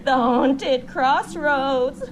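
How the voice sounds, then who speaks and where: A woman playing a gleefully sinister, cackling witch over a hundred years old, telling a radio horror tale in drawn-out, folksy archaic speech. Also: high-pitched, background noise. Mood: menacing.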